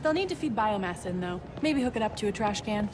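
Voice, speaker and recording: A woman's voice: mid-range voice, animated, a little fast, noisy background, lightly acted. An actress playing a clever, teasing teenage girl in a video game, speaking in a casual, wry, playful way. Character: bright, teasing teenage girl science whiz. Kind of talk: naturalistic video game acting.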